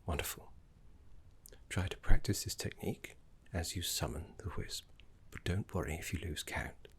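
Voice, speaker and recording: Casting: male actor as courteous scholarly necromancer mentor, very low-pitched, breathy half-whisper, reverent and soothing, game-inspired ASMR roleplay. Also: fairly bright tone, heavily acted. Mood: soothing, encouraging. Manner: unhurried, refined, reassuring, deliberate pauses.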